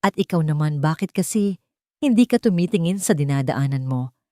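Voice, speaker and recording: A woman narrator playing a spiteful society lady in an audio love drama. She scolds with open contempt, lifting her pitch above a dry, close recording. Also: lively intonation, brisk, mellow, no room sound. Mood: scolding. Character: haughty, spiteful rich young society woman.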